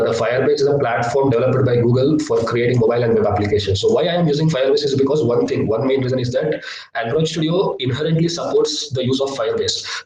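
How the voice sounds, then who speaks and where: A young man teaching a live online coding lesson, hesitant with many filler sounds, his voice slightly low from a cough. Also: a little mellow, a little hiss or hum. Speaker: workshop instructor. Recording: echoey, distant laptop mic.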